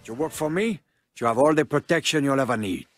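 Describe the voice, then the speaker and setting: A man's voice: medium pitch, a little fast, soft background music, lightly acted. A man voicing a brash, profane Cuban drug lord in a video game cutscene, with a swaggering Cuban accent. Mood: confident dealmaking.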